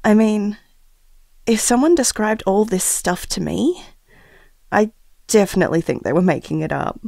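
Audio drama diarist: earnest mother-to-be, mid-pitched, reflective, hesitant, very dry close sound. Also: moderate pace, vocal fry, mellow, no echo. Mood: doubtful, hesitant. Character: earnest expectant mother confiding secret powers. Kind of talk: audio drama, confiding taped diary monologue.

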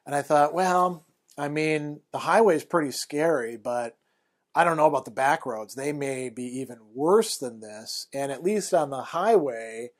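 A middle-aged man telling a personal story to camera, conversational and animated, with many fillers, recorded on a lapel mic in a small room. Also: on the high side, a little slow. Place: small room in front of a plain wall.

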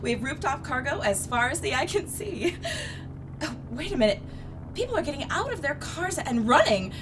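A woman's voice: sits high, sing-song, normal speed, far from the mic. A woman playing a radio traffic reporter in an audio drama, reporting live as things unfold. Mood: brisk, puzzled.

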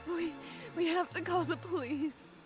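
A woman's voice: very high-pitched, measured pace, faint music behind. An actress playing a terrified but defiant young captive woman in an audio drama, emotional in her delivery. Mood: tearful. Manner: emotional, breaking into sobs and screams.

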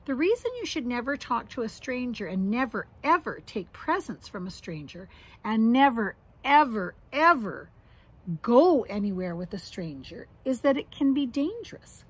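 A woman's voice: a little high, very animated, normal speed, slightly rough. A woman voicing a serious, protective father bear in a storybook, giving emphatic instruction. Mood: serious, warning.

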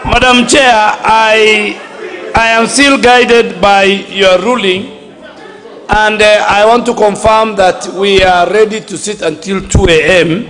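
A middle-aged man, a member of parliament raising a point of order at a desk microphone, deliberate and then loud and insistent. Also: high-pitched, steady tone, a faint crowd. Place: large parliament chamber. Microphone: desk microphone, standing. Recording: long hall reverb.

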